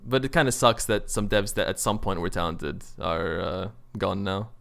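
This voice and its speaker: A man's voice: deep voice, moderate pace, gravelly, fairly bright tone. A man chatting casually about video games as a podcast host, in informal, slangy speech.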